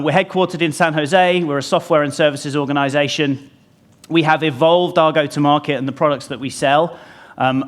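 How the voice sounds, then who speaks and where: Man giving a conference talk, um-filled. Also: on the high side. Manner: conversational, many ums and restarts. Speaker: conference speaker. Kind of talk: conference presentation. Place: conference stage before an audience. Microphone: lapel mic pack.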